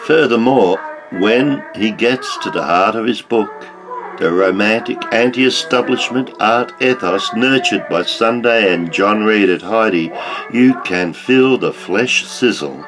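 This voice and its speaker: An older Australian man reading a scripted book review in a measured, literary manner over music. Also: on the low side, poor audio.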